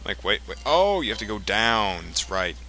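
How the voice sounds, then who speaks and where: A man giving casual, explanatory gameplay commentary in a halting way, as the host of a let's play. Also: fairly low pitch, very animated, bright, forceful tone. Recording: game music behind the voice. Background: video game music.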